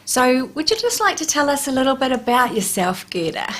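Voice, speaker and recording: A woman's voice: high voice, very animated, fairly quick, fairly bright tone, a little hiss or hum. A woman hosts a life coaching radio show, speaking encouragingly to her listeners. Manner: encouraging, uplifting address to listeners. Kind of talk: radio talk show hosting. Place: radio studio.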